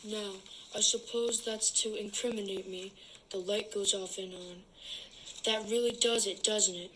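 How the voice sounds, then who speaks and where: A woman's voice: mid-range voice, steady tone, a little slow, fairly bright tone, slight background noise, close to an everyday voice, poor audio. A woman reading aloud the part of an accused suburban man, defensive and bewildered, in a dramatic play reading. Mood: indignant. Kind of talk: dramatic read-aloud of a teleplay.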